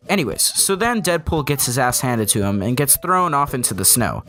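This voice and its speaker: Male reviewer, medium pitch, sarcastic, ranting, opinionated comedic narration, swearing, backing music. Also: fairly bright tone. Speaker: video reviewer and host. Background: background music.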